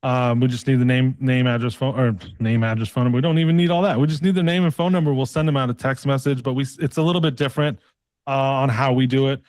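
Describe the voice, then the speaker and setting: Male sales coach for a mock call pitch: colloquial, persistent, pushy closer, mid-pitched voice in a dry recording. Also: steady tone, quick pace, darkish tone, no echo. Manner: pushy, persistent, uses tag questions; casual, colloquial phrasing.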